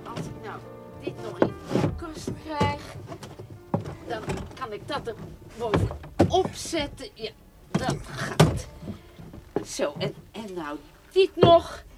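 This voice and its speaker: A woman's voice: high-pitched, expressive, slow, pauses often, loud music behind the voice. An actress playing a stubborn older farm grandmother in a radio play, firm, curt and no-nonsense. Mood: busy. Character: brisk, stubborn farm grandmother who brooks no argument. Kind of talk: Dutch radio play acting. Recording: very noisy radio play recording.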